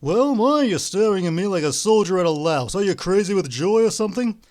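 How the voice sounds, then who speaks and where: A man voicing a brash young swindler who talks loud, brusque and mocking. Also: high-pitched, expressive, brisk, pitched above the performer's own voice. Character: brash smooth-talking young male swindler.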